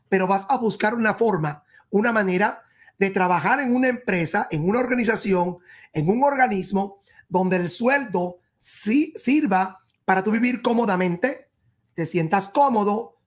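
A woman giving a tarot reading straight to the listener, emphatic, repeating and rephrasing in short phrases. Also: mid-range voice. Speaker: tarot reader and horoscope host. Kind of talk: spoken tarot reading addressed to the viewer.

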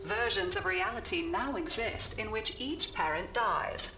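A woman voicing a calm computerised lesson narrator in a science fiction game, measured and instructive. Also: medium pitch, roomy sound, over music, close to an everyday voice. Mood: measured, formal. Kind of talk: scripted educational narration.

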